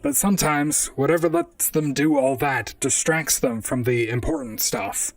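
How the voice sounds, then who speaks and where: A man playing a wry, deadpan robot private detective in a noir audio drama, both narrating and talking to others. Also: a little high, moderate pace, vocal fry, no room sound, recorded from a distance. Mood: wry, musing. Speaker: narrator and lead character.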